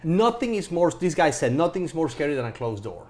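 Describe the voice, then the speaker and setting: A man's voice: a little high, very animated, fairly quick, a little mellow. A man co-hosting a casual discussion, speaking emphatically and opinionatedly and repeating his phrases as he rambles. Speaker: co-host of a film club show.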